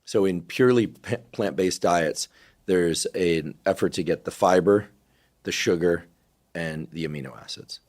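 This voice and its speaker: Low-voiced guy hosting a podcast, calm questions, super dry sound. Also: measured pace, no echo. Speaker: podcast host. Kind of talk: podcast interview.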